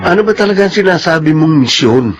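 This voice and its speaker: An actor playing an insistent, exasperated young boyfriend in a Filipino radio drama. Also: male voice, fairly high pitch, animated, fast, over loud music, lightly acted. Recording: dull, noisy radio drama recording.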